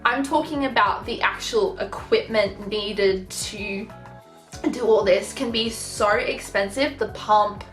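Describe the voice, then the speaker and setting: A woman's voice: mid-range voice, takes it slowly, fairly bright tone, echoey room, soft background music. A young woman vlogger talking frankly to camera in a chatty, casual way, full of fillers. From Australia.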